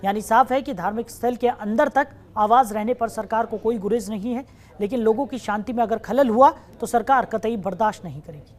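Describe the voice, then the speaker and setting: Brisk woman news anchor. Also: on the high side, a little mellow. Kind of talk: scripted news narration and hosting.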